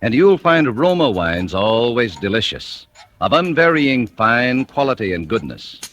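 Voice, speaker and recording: A man's voice: medium pitch, big pitch swings, unhurried, a bit bright, dull audio. A man announcing sponsor messages in a polished, emphatic and formal 1940s radio style. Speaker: commercial announcer. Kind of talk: 1940s radio advertising read.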